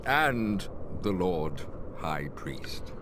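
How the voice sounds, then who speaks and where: An actor playing a scheming, self-pitying older priest in a video game, with a pompous, theatrical manner. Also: male voice, low voice, big pitch swings, very slow, background noise, heavily acted. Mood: solemn, pleading. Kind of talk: dramatic video game voice acting.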